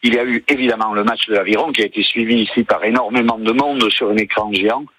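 A man giving a live radio report from a bullring, enthusiastic and halting, with many fillers. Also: on the low side, fairly even intonation, fairly bright tone, a little hiss or hum, poor audio. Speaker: field reporter. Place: bullring arena.

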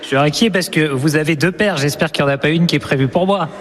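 A man's voice: on the high side, fast talker, no echo. A man reporting for local radio in a playful, self-mocking way.

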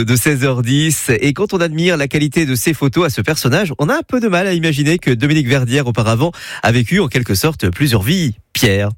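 Man, radio presenter, relaxed chatty delivery, dry studio, mid pitch. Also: dry recording. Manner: relaxed, chatty, talks straight to listeners. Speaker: radio host.

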